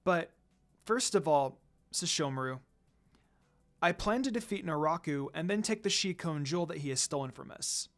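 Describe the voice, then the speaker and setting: A storyteller voicing a gentle, earnest teenage girl in a fan fiction reading, soft but determined. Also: medium pitch, expressive, normal speed, natural voice.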